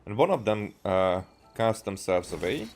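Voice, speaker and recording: A man from Hungary, thinking aloud in halting, rambling gameplay commentary and often repeating himself. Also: fairly low pitch, very animated, darkish tone, slight background noise. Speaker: video game streamer. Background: faint game music.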